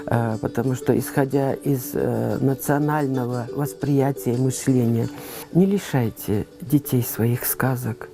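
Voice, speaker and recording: An interviewee from Ingushetia giving unscripted commentary, searching for words in a halting way. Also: mid-range voice. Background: faint background music.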